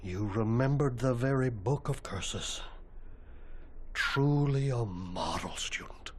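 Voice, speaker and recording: A man's voice: mid-range voice, a little hiss or hum, natural voice. A man acting as a formal, dryly macabre headmaster of an occult academy in a video game cutscene. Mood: sardonic. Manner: formal, dry, measured wording.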